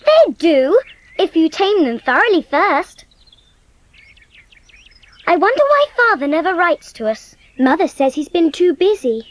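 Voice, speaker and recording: Very high-pitched, eager British girl. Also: sing-song, unhurried, long pauses, dry recording, full character voice. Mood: earnest, hopeful. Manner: earnest, wondering, eager. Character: imaginative, eager, affectionate young English girl. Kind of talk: dramatised storybook audiobook.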